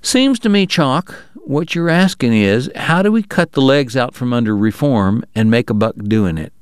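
A man voicing a calculating frontier businessman who pitches his plans in a mild, innocent-sounding way, for an audiobook. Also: expressive, moderate pace, clean tone, dry recording, clean studio sound, close to an everyday voice. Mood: wheedling. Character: scheming small-town businessman, soft-spoken, calculating.

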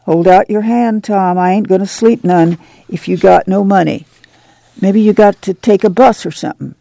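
A man's voice: sits high, normal speed, vocal fry, mellow, no echo, voice pushed up. A man voicing a worried older mother who is tender but firm, speaking in plain rural talk. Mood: insistent. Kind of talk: audiobook character reading.

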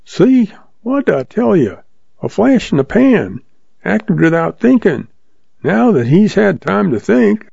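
A man's voice: high-pitched, very animated, slow, gravelly, mellow, slightly rough, no room sound, pitched above the performer's own voice, full character voice. A man playing a harsh sneering townsman. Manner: harsh, scornful. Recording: dull lo-fi audio.

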